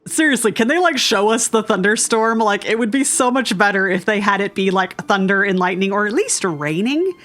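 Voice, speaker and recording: A woman's voice: medium pitch, animated, a little fast, hardly any pauses, fairly bright tone, slight background noise. A woman streaming a game with casual, sarcastic, exclamatory commentary in a chatty way. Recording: faint game music behind.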